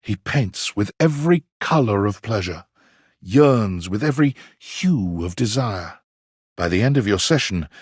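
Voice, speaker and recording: An actor playing a florid, pompous male storyteller in a video game, narrating dramatically with arch wit and savored pauses in a husky voice. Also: on the low side, dry recording, clean studio sound, exaggerated voice. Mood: sensual, grandiose. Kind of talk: theatrical scripted narration.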